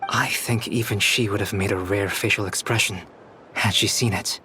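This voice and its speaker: A man playing a calm, soft-spoken young scholar, an artificial human in a video game, speaking formally and reflectively. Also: fairly low pitch, noisy background, close to an everyday voice. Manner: measured, reflective, formal diction. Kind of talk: scripted video game dialogue. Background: background music.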